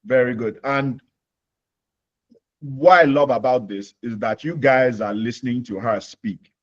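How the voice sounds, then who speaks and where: A man's voice: medium pitch, unhurried, muffled recording. A Nigerian man hosting a live career Q&A webinar, explaining with homely examples and checking that listeners follow. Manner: explains with homely examples, repeats points, asks "you understand?". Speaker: webinar host.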